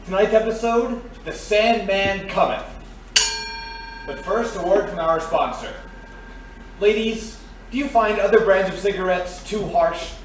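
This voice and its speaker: Echoey, high-voiced old-time radio announcer. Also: male voice, unhurried. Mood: showy, welcoming. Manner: declamatory, salesmanlike. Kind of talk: 1940s radio announcer acting. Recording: very echoey, distant, faint noise.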